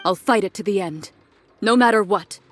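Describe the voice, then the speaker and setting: An actress playing a resolute, serious young traveler heroine in a video game cutscene. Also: female voice, medium pitch, normal speed, faint music behind, natural voice. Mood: determined.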